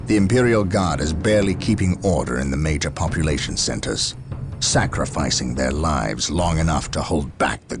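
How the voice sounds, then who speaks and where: Deep, gravelly, stern marine captain. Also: male voice, full character voice. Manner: commanding, grave, declarative. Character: stern, resolute male space marine captain. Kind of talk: dramatic video game cutscene acting.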